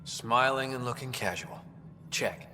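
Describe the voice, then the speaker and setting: A man's voice: mid-range voice, a little slow, bright, forceful tone, a little hiss or hum. Wry space tech guy, echoing room. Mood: dryly casual. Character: wry, obliging male space station tech specialist. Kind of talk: video game voice acting.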